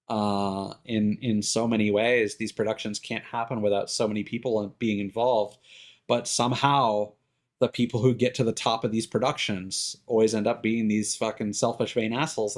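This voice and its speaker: A man streaming live, talking casually and giving his opinions in a halting way, circling back over his words. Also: medium pitch. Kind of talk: casual opinionated rambling on a livestream.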